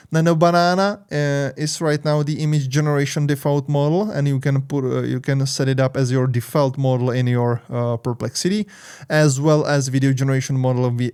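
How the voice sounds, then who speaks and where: A man's voice: medium pitch, gravelly, a little mellow, clean tone. A young man with a Czech accent, a native Czech speaker, hosting casual tech news commentary with frequent fillers and restarts. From Czech Republic. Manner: hesitant, many fillers and restarts.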